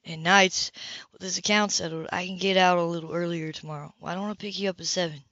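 A woman's voice: on the low side, fairly even intonation, fast talker, fairly bright tone, no echo, lightly acted. A confident, flirtatious adman from an audiobook as read by a woman, teasing in short clipped phrases. The sound is dry and slightly muffled, like a lo-fi home recording.

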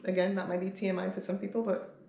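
A woman's voice: mid-range voice, little pitch movement, fast talker, mellow. A woman in her thirties chatting casually to camera as a vlogger, candid and rambling. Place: bare-walled room at home, indoors. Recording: roomy echo, clear background noise.

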